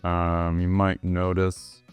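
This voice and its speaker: Hoarse man with a low, slow voice. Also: soft background music. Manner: relaxed, with many ums and uhs. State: hoarse, recovering from flu. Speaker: animator. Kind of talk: screen-recorded tutorial walkthrough.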